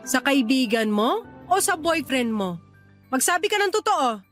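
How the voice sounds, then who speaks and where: A woman's voice: sits high, big pitch swings, a little slow. A woman playing a stern, scolding older sister in a radio drama, with a Filipino accent. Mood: suspicious, probing. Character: angry, scolding older sister who supports her siblings. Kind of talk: Tagalog radio drama acting. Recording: faint music behind the voice.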